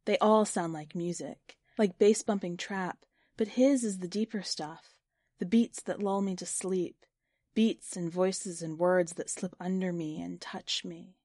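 A woman's voice: lowish voice, fairly even intonation, a little slow, darkish tone, dry recording, studio quality. A woman reading a first-person short story in literary audiobook style. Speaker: story narrator.

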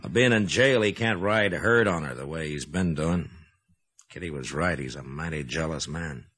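An actor playing a calm, firm frontier marshal in a 1950s radio western, measured and quietly authoritative. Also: male voice, a bass voice, husky, heavily acted.

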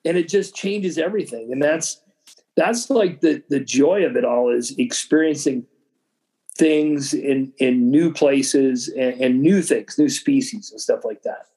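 A man's voice: mid-range voice, measured pace, warm tone, rough recording. A man chatting casually as a podcast guest, telling anecdotes and acting out bits of dialogue as he goes. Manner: acts out quoted dialogue, conversational.

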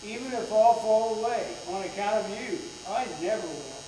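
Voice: mid-range voice, expressive, moderate pace, recorded from a distance, noisy background, close to an everyday voice. Someone reading as a loyal insistent disciple, church bible drama, echoey and humming. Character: Peter, loyal insistent male disciple in a Passion story. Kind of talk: scripted scripture reading.

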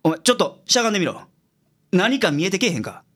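An actor playing a blunt, gruff male detective in a radio drama, brusque and authoritative, with a Kansai accent. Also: sits high, sing-song, fast talker, fairly bright tone, full character voice. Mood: sharp, deducing. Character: gruff, blunt male private detective, sharp-witted boss.

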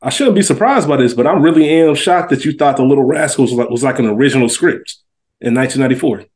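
A man's voice: mid-range voice, fast talker, a little mellow. A man co-hosting a podcast, chatting casually in an emphatic, teasing, slangy way. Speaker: podcast co-host. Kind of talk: casual chat.

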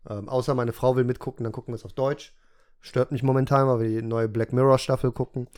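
A man's voice: mid-range voice, steady tone, fast, a little mellow. A man in his thirties hosting a casual podcast interview, asking questions and explaining things at length in a chatty way. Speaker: podcast host.

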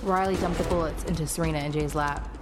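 A woman's voice: deep voice, steady tone, fast talker, very noisy, natural voice. A woman playing an alien-fighting heroine who narrates an audio drama in the first person. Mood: tense, suspenseful. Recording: loud music bed behind the voice.

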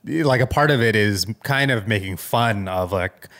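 A man's voice: lowish voice, normal speed, fairly bright tone. Casual man chatting as podcast co-host. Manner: hedging, checks in with "right?" often. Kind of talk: casual tech chat.

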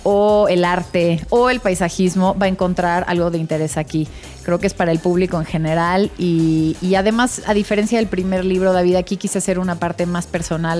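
Low-pitched Mexican woman in conversation. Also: darkish tone. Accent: Mexican Spanish. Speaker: interior designer being interviewed. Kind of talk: conversational interview answers.

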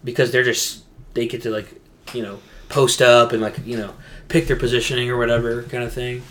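A man's voice: mid-range voice, little pitch movement, brisk, a bit bright. A man co-hosting a podcast, chatting casually about games in a rambling, slangy way. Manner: rambling, informal gamer slang. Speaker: podcast co-host. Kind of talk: casual gaming chat.

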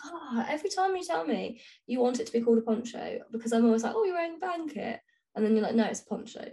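Woman, somewhat high voice, podcast co-host, casual, teasing, playful. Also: animated, fast talker, mellow. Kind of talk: casual unscripted book chat.

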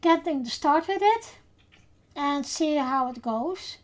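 A Dutch woman, speaking English with her native accent, hosts a casual podcast. Her voice sits high and she talks at a slow, unhurried pace. She pauses often with fillers as she gathers her thoughts. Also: expressive. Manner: unhurried, hesitant with many fillers. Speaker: podcast host.

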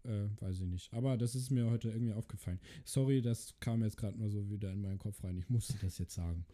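Man asking rambling questions on a podcast, very dark tone. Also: lowish voice, fast talker. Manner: rambling, hesitant with many fillers. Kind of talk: casual chat.

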